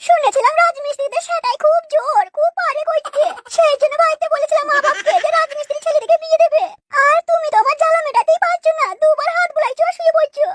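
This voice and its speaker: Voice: very high-pitched, fairly even intonation, fast, hardly any pauses, heavy background noise. An actor voicing a bold young housewife, complaining and coy, in a comic cartoon dub. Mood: nagging, scornful.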